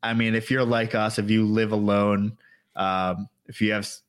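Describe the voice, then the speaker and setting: A man hosting an interview, asking questions in a relaxed, conversational way with fillers. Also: fairly low pitch, normal speed, smooth. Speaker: host. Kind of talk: casual interview.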